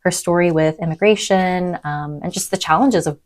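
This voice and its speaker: A young adult woman lecturer who grew up in East Africa, speaking thoughtfully in a podcast interview with frequent pauses and "um"s. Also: fairly low pitch. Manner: thoughtful, soft-spoken, many "um"s. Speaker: university lecturer.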